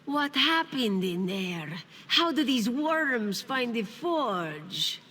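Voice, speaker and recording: Slow, expressive cat-folk warrior. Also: female voice, on the high side, exaggerated voice. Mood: alarmed, exasperated. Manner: deliberate, suspicious, dramatic. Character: shrewd female cat-folk warrior, speaks in third person. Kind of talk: fantasy video game acting. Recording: long reverb, faint background noise.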